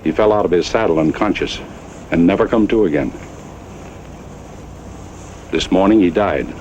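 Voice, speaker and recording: An actor playing a stern, proud old American cattle baron, grave and deliberate, in a Western radio drama. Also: male voice, sits low, a little mellow, close to an everyday voice. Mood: grave accusation. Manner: grave, deliberate, plain-spoken. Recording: muffled old radio recording, faint noise.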